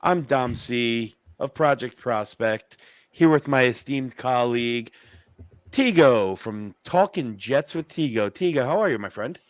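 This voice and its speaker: A man co-hosting a casual sports podcast, a little hoarse from yelling, rambling with lots of fillers and restarts. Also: mid-range voice, lively intonation, unhurried, no echo. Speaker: podcast co-host.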